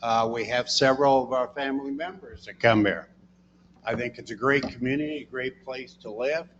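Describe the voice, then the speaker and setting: A man's voice: medium pitch, fairly even intonation, moderate pace, a bit bright, big-room sound, muffled recording, slight background noise. A man from Missouri introducing himself as a board candidate in a folksy manner with "uh" fillers. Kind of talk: self-introduction speech.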